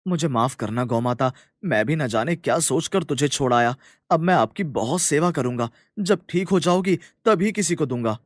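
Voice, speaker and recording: A man voicing a kind, earnest young village farmer in a cartoon story, with a colloquial, animated manner. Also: a little high, normal speed, dry recording, clean studio sound. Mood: earnest, apologetic. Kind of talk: Hindi cartoon story dialogue.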